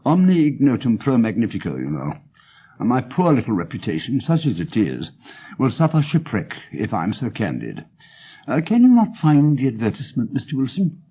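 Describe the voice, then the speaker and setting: An actor reading as a cool, amused gentleman detective with an RP English accent and a dry, clipped manner. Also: mid-range voice, wildly swooping pitch, moderate pace, breathy edge, heavily acted. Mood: dryly amused. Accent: English, Received Pronunciation.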